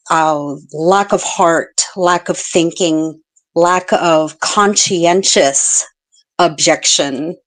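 A middle-aged woman calling in to a live show, speaking in an earnest, pleading, halting way. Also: fairly low pitch. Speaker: caller. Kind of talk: live call-in comment.

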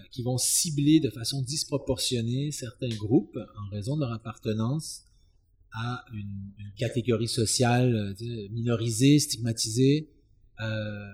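A man answering as an expert guest in an interview, explaining in a halting, searching way and often repeating words. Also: medium pitch, a little mellow, breathy edge. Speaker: expert guest, sociology professor.

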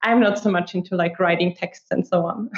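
A woman's voice: on the low side, fairly even intonation, fairly quick. A woman in her twenties with an Austrian accent, chatting informally as an interview guest and peppering her answers with filler words like "like". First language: German. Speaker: podcast guest, app marketer. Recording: muffled, roomy remote recording.

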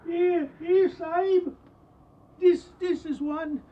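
Very high, slow, terrified servant. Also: steady tone, highs cut off. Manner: broken English, halting. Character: terrified native male servant, cowering and deferential. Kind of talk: radio drama acting.

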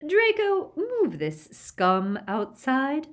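A woman voicing an unhinged, imperious villainous witch in an audiobook, sharp and commanding. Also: sits high, huge pitch swings, very slow, voice pushed up, heavily acted. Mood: furious, shrieking.

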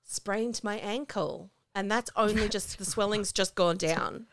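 An Australian woman co-hosting a casual podcast chat, slightly husky after laryngitis, rambling and self-deprecating. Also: mid-range voice, measured pace, no room sound, low-quality recording. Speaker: podcast co-host. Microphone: home recording over video call.

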